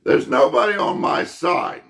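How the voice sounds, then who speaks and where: A man hosting his own channel, laying out his physics theory in a halting, unscripted monologue with many restarts and repeated "okay?" checks, his voice going hoarse. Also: fairly high pitch, lively intonation, a bit bright. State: losing his voice.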